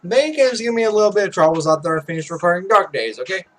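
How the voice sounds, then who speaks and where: Casual male let's play host, slightly high voice, rambling and muttering between bits of reading. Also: animated, fast talker, a bit bright, a little hiss or hum. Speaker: host of a gaming video. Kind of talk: casual let's play, reading visual novel text aloud.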